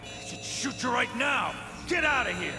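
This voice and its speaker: A man playing a panicky, angry asylum guard in a video game, frantic, accusing and yelling. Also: extremely high pitch, big pitch swings, normal speed. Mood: panicked, angry. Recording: echoey hall under loud music.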